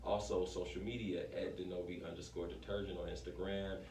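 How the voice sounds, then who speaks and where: A man with a fairly low voice speaks as a small business owner in an interview. He is informal and emphatic, often repeating phrases as he promotes his shop. The sound is echoey and a little distant, with faint noise. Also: flat delivery, fairly quick, darkish tone. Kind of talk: casual promotional interview talk.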